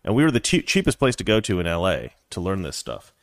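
A male host talks in a fairly low voice, wandering off on tangents and throwing in jokes as he gives his opinions. The sound is close and dry, with no room around it. He sounds loose and unpolished, like an unscripted show. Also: wildly swooping pitch, quick pace, no room sound. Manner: rambling, opinionated, joking. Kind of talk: casual political commentary.

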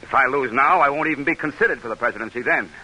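An American actor playing a proud, shrewd middle-aged senator in an old-time radio drama. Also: male voice, mid-range voice, rapid-fire, bright, forceful tone, a little hiss or hum, poor audio. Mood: worried, calculating. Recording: vintage radio broadcast recording.

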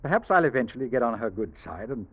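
Husky, suave English schemer. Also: male voice, medium pitch, big pitch swings, fast. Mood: courting, smooth. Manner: smooth, theatrical, insinuating. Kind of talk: old-time radio drama acting. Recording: dull, muffled old radio recording.

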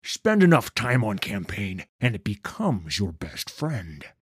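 Stern, menacing mage hunter, rough and sneering, measured pace, dry close sound, audiobook fantasy. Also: male voice, fairly low pitch, sing-song, no room sound, full character voice. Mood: grim, restrained. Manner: sneering, accusatory, forceful. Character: stern, bitter male mage hunter, grieving and menacing interrogator.

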